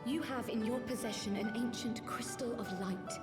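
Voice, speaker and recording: A woman voices a spirited young scholar in a fantasy game. Her highish voice is articulate and pert, with formal wording, heard in an echoey space under loud music. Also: normal speed, lightly acted. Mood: earnest. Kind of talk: scripted fantasy game acting. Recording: roomy echo with loud music.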